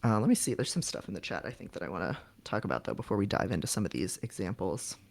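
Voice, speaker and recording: A man's voice: lowish voice, lively intonation, fast talker, creaky, a little mellow. A man hosting a casual livestream, giving frank advice in a conversational, somewhat hesitant way. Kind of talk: casual livestream chat, advising.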